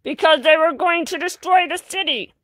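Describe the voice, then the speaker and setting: A woman voicing a grumpy, lonely villain fish in a storybook, blustering and emotional, with halting speech. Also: extremely high pitch, sharp tone, no room sound. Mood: irritated.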